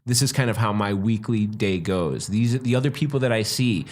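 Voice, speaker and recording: A man with a fairly low voice talks through his ideas on a podcast. He is earnest and thorough, and he keeps slipping into quick voiced asides for the people he describes. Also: darkish tone. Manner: earnest, explains at length, acts out imagined people's thoughts. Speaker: guest, stand-up comic. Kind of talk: casual podcast conversation.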